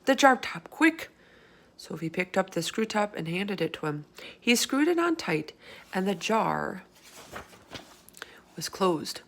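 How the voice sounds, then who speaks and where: Woman reading a children's story, lowish voice. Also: lively intonation, measured pace, long pauses. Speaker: storybook reader. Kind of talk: expressive children's book read-aloud narration.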